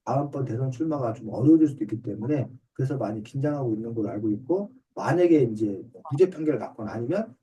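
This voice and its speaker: Very dark-voiced man explaining, distant mic. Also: mid-range voice, expressive, fast talker, hardly any pauses. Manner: polite, explains step by step in simple terms. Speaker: author and reading teacher answering a student's questions. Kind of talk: explanatory talk in an online book discussion class. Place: online video class. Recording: distant mic on online video call.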